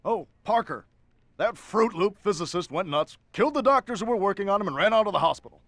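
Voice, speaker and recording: An actor playing a loud, bossy male newspaper editor in a video game, barking impatient orders. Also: sits high, animated, quick pace, no room sound.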